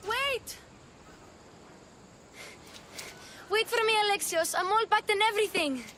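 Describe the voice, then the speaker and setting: A woman's voice: very high voice, fairly quick, pushed and bright, a little hiss or hum. A woman playing an eager, stubborn, affectionate little girl in a video game. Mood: pleading, determined. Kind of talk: video game cutscene acting.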